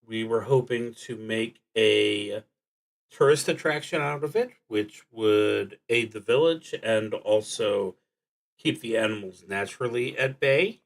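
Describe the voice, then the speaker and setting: A man streaming a solo tabletop game, thinking aloud in a halting, deliberate way and often trailing off. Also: lowish voice. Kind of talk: thinking aloud while playing a solo card and dice game.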